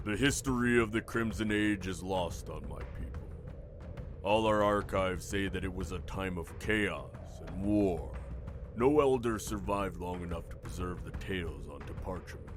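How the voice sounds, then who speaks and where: A man's voice: low-pitched, normal speed, natural voice. A man playing a sober, formal delegate of his people in a fantasy audio drama. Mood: sober, inquiring. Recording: prominent music behind the voice.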